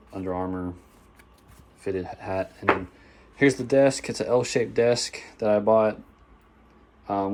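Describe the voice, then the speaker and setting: A young man, hoarse, talking casually and swearing as he shows his gaming setup in a phone-recorded vlog. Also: fairly low pitch, a little mellow, slight background noise. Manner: casual, swears often, lots of fillers. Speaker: gaming vlogger. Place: bedroom at home. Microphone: phone camera.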